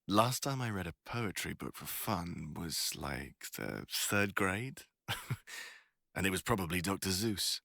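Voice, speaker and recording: A man's voice: deep voice, big pitch swings, takes it slowly, vocal fry, pushed and bright, no echo, studio quality, exaggerated voice. A young man playing a teasing, foul-mouthed college student crush in a casual boyfriend roleplay ASMR. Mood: grumbling, bored. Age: young adult. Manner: casual, slangy, teasing, sweary.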